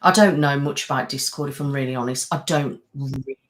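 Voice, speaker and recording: A woman's voice: rumbling low, a little fast. Chatty woman hosting a live stream. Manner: chatty, rambling, laughs often, thanks viewers by name. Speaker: ASMR channel host. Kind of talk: casual live-stream chat, reading comments.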